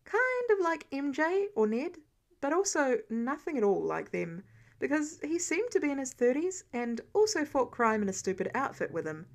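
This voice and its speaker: A woman narrates a piece of written fiction in a fairly high voice. She reads it as scripted storytelling, like an amateur audiobook. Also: very animated, normal speed.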